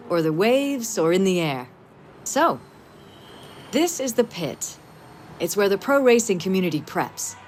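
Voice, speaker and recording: An actress playing a confident, brisk racing team boss in a video game, self-assured and wry, with a mentoring manner. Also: female voice, medium pitch. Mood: encouraging. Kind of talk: video game cutscene acting.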